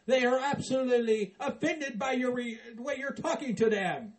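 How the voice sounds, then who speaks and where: A man's voice: very high voice, moderate pace, slight background noise. A man playing a cheerful, Santa-like boss of the elves who coaxes in a sing-song way. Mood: coaxing. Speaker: comedy character.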